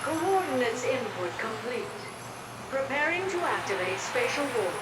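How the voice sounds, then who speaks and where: A woman's voice: a little high, sing-song, measured pace, heavy background noise. A woman playing an emotionless mirror assistant in a video game, making procedural announcements. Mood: impassive. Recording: echoey processed room, loud music behind.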